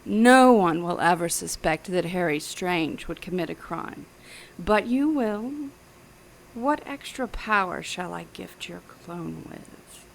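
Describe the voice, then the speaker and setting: Female villain scientist, creaky voice, smug, menacing, precise theatrical delivery, audio drama. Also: fairly low pitch, expressive, slow, a little hiss or hum, full character voice, low-quality recording. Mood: cold, commanding. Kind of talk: audio drama acting, detective thriller.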